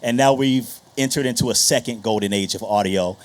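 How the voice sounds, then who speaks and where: A man's voice: lowish voice, fairly quick. Casual man moderating a panel. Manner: informal, conversational, addresses the audience directly. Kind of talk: casual stage introduction of a panel. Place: on stage at a live panel session.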